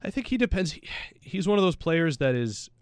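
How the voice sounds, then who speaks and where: A man's voice: a little high, very animated, brisk, clean tone, dry recording, clean studio sound. A man chatting casually about sports as a pundit, in a slangy, anecdotal way. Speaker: soccer pundit on a talk show.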